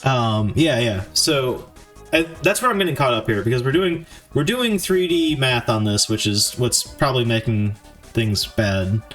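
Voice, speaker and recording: A man with a mid-pitched voice streams himself developing a game, with music behind him. He talks casually to viewers and thinks out loud in stops and starts. His manner is informal and self-deprecating. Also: big pitch swings, a little slow. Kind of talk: casual live-stream chat while coding.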